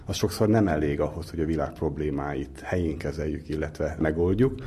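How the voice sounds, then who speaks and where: Deep, husky, mellow-voiced male scientist. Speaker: research scientist interviewed as expert. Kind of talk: explanatory radio interview.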